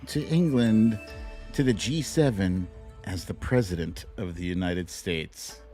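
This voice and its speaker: A man's voice: low voice, unhurried, mellow, faint music behind. A middle-aged man hosting a livestream show, chatting casually with viewers in a playful, sing-song way. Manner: playful, exclamatory, sing-song with silly catchphrases.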